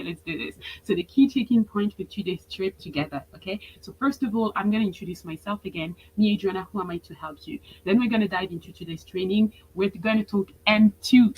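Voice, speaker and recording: Energetic woman coaching. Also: on the low side, a little hiss or hum, rough recording. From France. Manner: energetic, repeats phrases for emphasis. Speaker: business coach. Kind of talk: motivational webinar talk.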